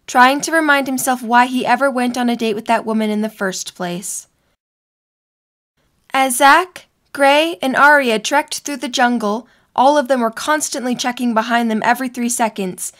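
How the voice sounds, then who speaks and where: A woman's voice: fairly high pitch, fairly bright tone. A young woman reading scripted story narration for an audio drama.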